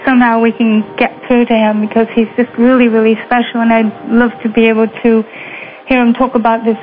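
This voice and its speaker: A woman with a faded Lancashire accent, hosting a radio talk show and rambling warmly to fill dead air. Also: fairly high pitch, flat delivery, brisk. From Lancashire, England. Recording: dull lo-fi radio audio with faint hiss.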